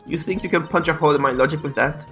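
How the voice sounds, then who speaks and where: A haughty young female prosecutor, put on by a man. She talks down to everyone with cold scorn, in a voice pitched a touch high. Faint game music plays behind. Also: very fast, fairly bright tone. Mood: scornful.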